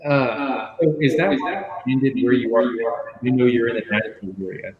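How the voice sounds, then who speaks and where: A man's voice: mid-range voice, moderate pace, narrow, tinny audio, over a noisy crowd. A man hosting a casual interview, asking friendly questions with brief acknowledgments. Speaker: podcast host. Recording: echoey room, faint noise.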